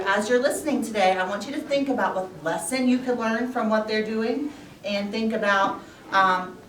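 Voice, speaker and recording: A woman telling a puppet story to young children, with simple, deliberate, expressive phrasing. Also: mid-range voice, slight background noise. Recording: roomy echo, distant microphone.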